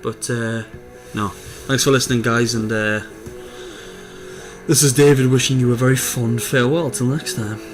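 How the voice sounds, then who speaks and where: A man's voice: mid-range voice, fairly even intonation, moderate pace, music in the background. A man chatting informally as co-host of a podcast. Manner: informal, conversational. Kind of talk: casual chat.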